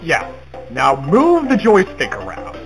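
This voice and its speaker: A man playing a patient, halting fellow giving casual instructions in a horror game. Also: a little high, huge pitch swings, over music, heavily acted, rough recording. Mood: patient, helpful.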